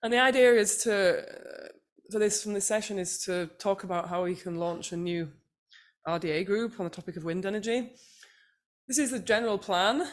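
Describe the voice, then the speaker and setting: A woman's voice: mid-range voice, moderate pace. A woman chairing a small workshop session, talking casually with many restarts. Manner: chatty, hesitant, with many restarts. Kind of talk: casual meeting talk.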